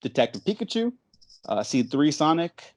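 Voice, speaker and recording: A man's voice: a little high, very animated, normal speed, dry recording. A man hosting a casual movie podcast, talking in a chatty, enthusiastic, rambling way. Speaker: podcast host.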